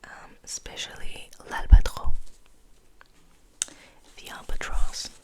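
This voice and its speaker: A woman whispering softly in an ASMR style, reading and rambling in a hushed, unhurried, gentle way. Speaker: ASMR host.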